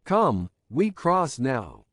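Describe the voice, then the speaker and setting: A man reading the lines of an eager, teasing young woman who presses her questions, in a slow, lively storybook reading. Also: medium pitch, no echo, full character voice. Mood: pressing.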